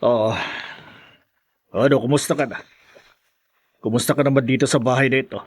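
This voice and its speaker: Casting: man as an evasive uncle home from abroad, husky mid-range voice. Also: mid-range voice, fairly even intonation, normal speed, natural voice. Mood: guarded. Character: middle-aged uncle back from abroad, guarded. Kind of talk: audio drama acting.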